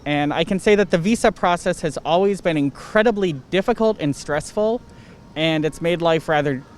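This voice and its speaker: A man answering street interview questions in a measured, thoughtful way. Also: fairly high pitch. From United States. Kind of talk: casual answers. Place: city street outdoors.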